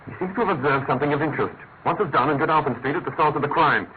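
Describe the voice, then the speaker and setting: An actor playing an incisive, commanding British detective in a classic radio mystery, speaking in an emphatic, articulate way. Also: male voice, a little high, rapid-fire, close to an everyday voice. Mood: brisk. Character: incisive male detective, brisk, commanding, theatrically analytical. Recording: tinny, noisy old radio recording.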